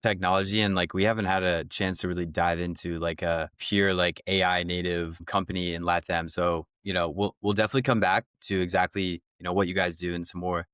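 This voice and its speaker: A man with a very deep, smooth voice hosts the interview, easygoing and full of "like" and "um". The recording is dry and close-miked. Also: fairly quick, few pauses, no room sound. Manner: relaxed, filler-heavy, friendly questions. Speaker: podcast co-host. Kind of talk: casual interview hosting.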